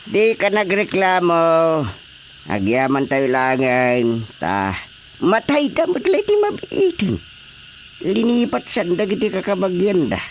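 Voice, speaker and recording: An actor playing an excitable male frontline worker in a radio drama, with exclamatory, swooping delivery. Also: sits high, slow, a little hiss or hum, exaggerated voice. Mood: earnest, resigned. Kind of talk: Ilocano radio drama acting.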